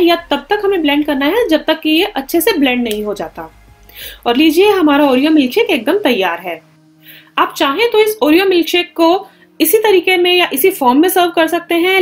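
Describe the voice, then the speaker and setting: A woman in her thirties hosting a home cooking channel, explaining a recipe step by step in a clear instructional voice. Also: sits high. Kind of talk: recipe tutorial narration. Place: home kitchen, indoors.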